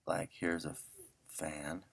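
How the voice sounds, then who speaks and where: A man's voice: a bass voice, little pitch movement, slow pace, gravelly, no room sound. A man giving a casual audio editing tutorial, informally muttering to himself as he works. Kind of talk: casual screen-recorded software tutorial.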